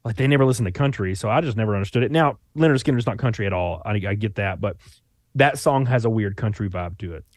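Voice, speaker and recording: A man's voice: lowish voice, fast talker, a little mellow, no room sound, clean studio sound. Rambling man with an Alabama accent. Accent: Southern US (Alabama). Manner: rambling, loose, with many fillers and restarts. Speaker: YouTuber guest. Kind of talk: casual conversation.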